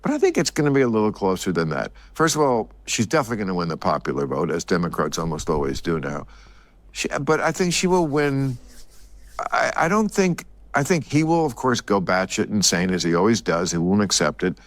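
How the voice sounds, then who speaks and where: A man's voice: on the low side, big pitch swings, brisk, no room sound. A man giving emphatic, opinionated political commentary.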